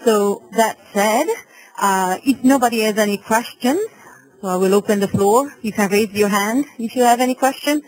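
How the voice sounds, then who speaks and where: A woman hosts an online webinar, presenting to participants. Her voice sits at a medium pitch for a woman. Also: moderate pace, low-quality recording. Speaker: webinar host.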